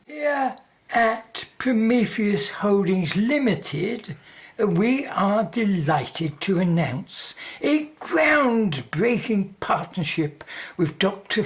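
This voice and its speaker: A woman's voice: lowish voice, animated, slow. A woman playing a polished, gushing corporate spokeswoman at a press conference in a radio play. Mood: polished, upbeat.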